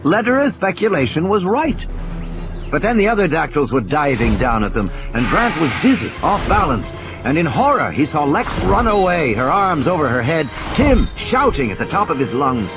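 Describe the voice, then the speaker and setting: A man's voice: a little high, big pitch swings, moderate pace. A man giving a dramatic scripted narration of a story as an audiobook narrator. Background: music and sound effects.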